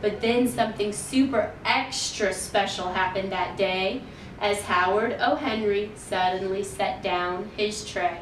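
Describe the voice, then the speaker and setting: Female storytime reader, slow and deliberate, expressive rhymed read-aloud for kids, recorded in a roomy space with faint noise. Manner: measured, deliberate storytelling for young children. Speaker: children's library storytime reader. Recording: roomy, distant mic, faint noise and rumble.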